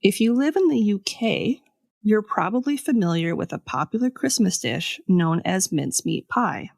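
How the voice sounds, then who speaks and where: A woman podcast host telling a scripted story in a conversational way, with pauses for dramatic effect. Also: medium pitch, animated, gravelly, a little mellow, no room sound. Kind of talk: scripted storytelling with casual asides.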